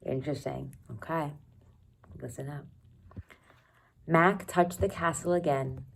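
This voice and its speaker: A woman teacher reading a children's novel aloud expressively, pausing to think aloud and comment for her students. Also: deep voice, creaky, a little hiss or hum. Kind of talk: read-aloud storytelling with asides to students.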